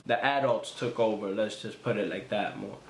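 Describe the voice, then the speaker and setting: A middle-aged man reminiscing about growing up, speaking in a flat, low-key way. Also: normal speed, vocal fry, echoey room, soft background music, low-quality recording. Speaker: street interviewee.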